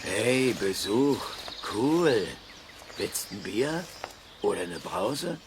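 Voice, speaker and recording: Casting: slangy long-haired biker hermit, low animated voice, easygoing, children's audio drama with outdoor noise behind. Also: male voice, drawn-out words, heavily acted. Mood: friendly, relaxed. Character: laid-back long-haired biker loner man playing saxophone outdoors. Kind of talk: German children's radio play acting.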